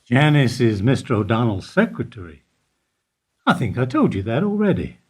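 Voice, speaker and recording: A man acting in a radio play as an evasive older bank employee who answers in a guarded, deliberate way. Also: mid-range voice, sing-song, a little mellow, husky. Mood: guarded, terse. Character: evasive older male bank foyer employee under questioning.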